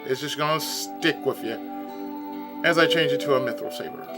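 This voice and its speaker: A hoarse man giving chatty, casual let's play commentary and clearing his throat often. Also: fairly high pitch, normal speed. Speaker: let's player. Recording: game music playing behind.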